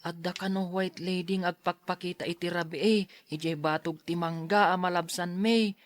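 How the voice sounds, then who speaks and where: A woman's voice: lowish voice, fairly even intonation, moderate pace, dry recording, close to an everyday voice. A woman playing a timid, easily frightened schoolboy with a fretful, whiny delivery in an Ilocano radio drama.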